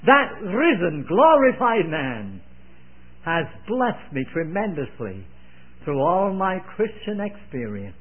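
A man's voice: sits high, big pitch swings, slow, slightly rough. A man in his eighties preaching a sermon, earnest and emphatic, repeating phrases with solemn, old-fashioned devotional wording. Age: 80s. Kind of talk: sermon, expounding scripture. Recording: narrow, phone-like old sermon recording.

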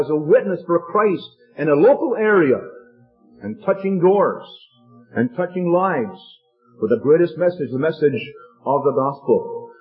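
Earnest man preaching over a tinny line. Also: a little high. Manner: earnest, expository. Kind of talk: sermon with personal anecdote. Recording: tinny phone-like sound, faint music.

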